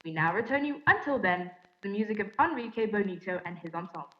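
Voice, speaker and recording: A woman performs a formal female radio announcer from the 1930s. She reads scripted bulletins in measured phrases at a medium pitch. The sound is echoey and a little dulled. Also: lively intonation, lightly acted. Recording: echoey room, slightly dull sound.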